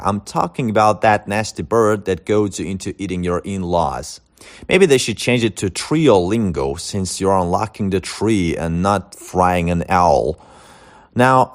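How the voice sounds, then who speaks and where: A man hosting a language learning video, giving tips in a scripted monologue with wry jokes and deadpan punchlines. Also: lowish voice. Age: adult, older than the internet era.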